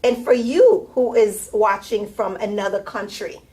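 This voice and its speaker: A woman's voice: medium pitch, unhurried, dark tone, rough recording. A woman hosting a talk show interview, playful and teasing as she questions her guest. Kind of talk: lively interview.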